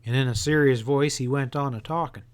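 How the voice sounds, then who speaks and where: A man reading an audiobook as an expressive first-person narrator with a folksy storytelling manner. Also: medium pitch, fairly quick, smooth. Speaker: audiobook reader.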